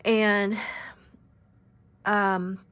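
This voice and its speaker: A very soft-spoken woman in her fifties, giving an unscripted oracle card reading with gentle, halting delivery and frequent pauses. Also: medium pitch, little pitch movement, clean tone, no room sound. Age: 50s.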